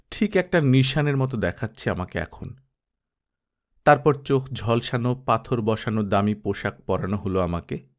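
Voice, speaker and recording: Man, audiobook narrator, steady first-person storytelling, mid pitch.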